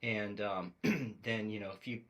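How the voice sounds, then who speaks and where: Very deep-voiced man in his forties. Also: steady tone, measured pace, fairly bright tone. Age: 40s. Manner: reflective, rambling storytelling with many fillers. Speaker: podcast co-host. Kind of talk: casual podcast chat.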